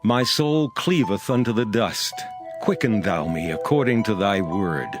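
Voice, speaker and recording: A man's voice: on the low side, lively intonation, a little slow. A man giving a dramatized reading of scripture. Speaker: scripture reader. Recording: reading over a music bed.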